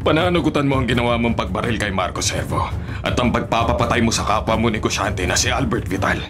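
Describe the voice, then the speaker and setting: A man's voice: medium pitch, quick pace, music in the background, natural voice. An actor playing a righteous, accusing man in a Filipino radio drama, earnest and stern. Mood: stern accusation. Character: righteous, accusing man confronting a criminal woman.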